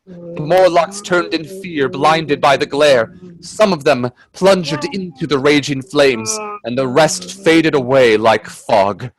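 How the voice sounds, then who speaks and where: A man playing an earnest, anxious gentleman companion in a radio play, sounding dramatic and grave. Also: a little high, rough recording. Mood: grave narration. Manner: earnest, hesitant in dialogue, measured in narration.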